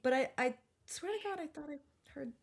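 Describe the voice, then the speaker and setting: A woman's voice: sits high, animated, a little fast, gravelly. A woman podcast host in casual, chatty conversation with friends. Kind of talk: casual chat about horror films.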